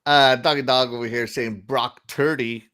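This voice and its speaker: A man hosting a casual gaming podcast, upbeat and quick to laugh, with lots of fillers as he reads out the chat. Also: medium pitch, steady tone, bright, forceful tone, clean tone. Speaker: podcast host reading chat.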